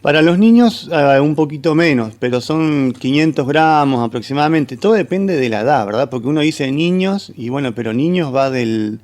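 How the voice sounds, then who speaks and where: A man's voice: on the high side, lively intonation, normal speed, few pauses, darkish tone. Argentine man explaining in conversation. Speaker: food segment guest giving cooking advice. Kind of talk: conversational radio interview.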